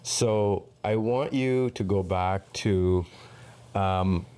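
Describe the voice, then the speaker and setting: A man from Sri Lanka hosting a podcast interview, giving measured, earnest introductions. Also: sits low, darkish tone, clean tone. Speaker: podcast host.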